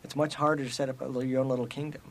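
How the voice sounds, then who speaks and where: A man talks very fast on a podcast, stringing his thoughts together with a steady stream of fillers. His voice sits at a middle pitch, and he rambles through his points in a loose, conversational way. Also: gravelly, a little hiss or hum. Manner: rapid, rambling, full of fillers. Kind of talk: casual discussion.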